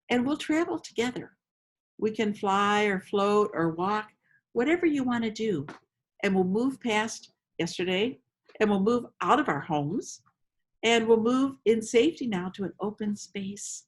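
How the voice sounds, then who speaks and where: Female meditation host, medium pitch, slow inviting delivery, gentle storytelling with generous pauses. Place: outdoors among roses.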